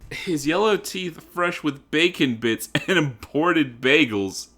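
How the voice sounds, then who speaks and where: A man's voice: fairly high pitch, lively intonation, slow pace, fairly bright tone. A man narrating a comedic dramatic reading in a theatrical, emphatic, mock-serious manner.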